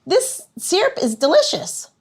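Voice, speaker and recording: A woman hosting a podcast in a playful, expressive way, moving between banter and show announcements. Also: extremely high pitch, unhurried. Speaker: podcast host.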